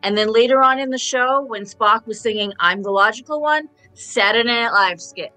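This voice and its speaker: A woman chats on a radio roundtable with a bright, sharp voice. She gives her opinions as little stories, mimicking lines as she goes. Faint music sits behind her. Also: a little high, moderate pace, low-quality recording. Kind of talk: casual opinionated discussion.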